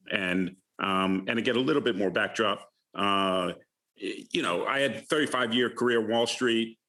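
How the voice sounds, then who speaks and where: An American man in his fifties from the Bronx gives reflective interview answers, often halting as he searches for his words. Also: on the low side, sharp tone. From the Bronx, New York City, United States. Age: 50s. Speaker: alumni guest, retired finance professional.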